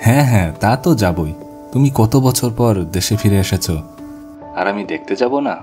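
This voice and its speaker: A man's voice: on the low side, expressive, moderate pace, mellow, natural voice. A man playing an eager, sceptical young nephew in cartoon ghost story dialogue. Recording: music bed behind the voice.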